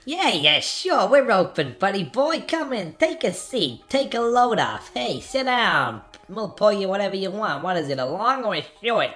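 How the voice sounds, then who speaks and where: A woman's voice: mid-range voice, big pitch swings, moderate pace, few pauses, a bit bright. A woman playing a brash, chatty bartender in a film noir audio play, with breezy, slangy patter.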